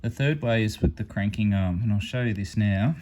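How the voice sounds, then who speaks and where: A man with a fairly low voice walks through a product demonstration in a casual, hands-on style. He explains things step by step and stays matter-of-fact, like a hobbyist reviewer hosting a channel. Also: brisk, a little mellow. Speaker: amateur radio reviewer and channel host.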